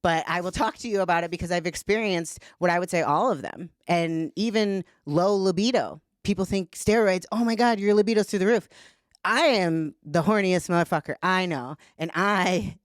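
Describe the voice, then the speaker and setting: A woman's voice: fairly low pitch, normal speed, dry recording, studio quality. A woman guest on a podcast, speaking frankly and casually, swearing freely and filling her talk with "like". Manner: blunt, profane, talks with lots of "like". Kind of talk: candid casual conversation.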